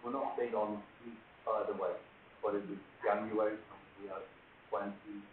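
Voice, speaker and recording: An older man in his sixties speaks with a Liverpool accent in an easygoing, matter-of-fact way. His voice sits somewhat low. The sound is thin and phone-like, echoing, with faint hiss. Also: level tone, moderate pace, far from the mic. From England. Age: 60s. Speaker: interviewee. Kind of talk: casual chat.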